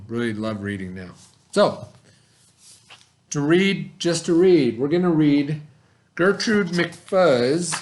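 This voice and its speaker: A male elementary school teacher talking informally to young pupils in a simple, rambling way and leading a read-aloud lesson. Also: medium pitch.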